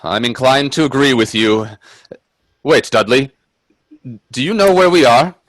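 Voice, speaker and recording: A man's voice: mid-range voice, expressive, a little slow, fairly bright tone, dry recording. A man playing a cautious, anxious Edwardian time traveller in a radio play, earnest and reflective. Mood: wary. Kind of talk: radio play acting and narration.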